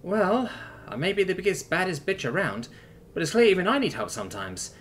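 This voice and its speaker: A man's voice: high-pitched, sing-song, a little fast, fairly bright tone, soft background music, pitched above the performer's own voice. A man voicing a tough, foul-mouthed woman who is teasing and tender, in an emotional storytelling read. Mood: frank, tender. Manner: blunt, wry, casual swearing.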